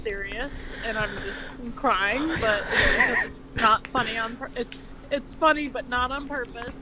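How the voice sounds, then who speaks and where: A woman's voice: on the high side, fairly even intonation, a little slow. A woman reading fanfiction aloud in a mock-dramatic style, breaking off into sweary, mocking ad-libs. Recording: unevenly balanced audio, crowd-like noise behind.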